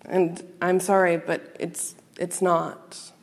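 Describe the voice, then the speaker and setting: A woman's voice: on the low side, flat delivery. Earnest woman preaching in a reverberant hall. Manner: measured, earnest, reflective with pauses. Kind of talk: sermon. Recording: long reverb of a large hall.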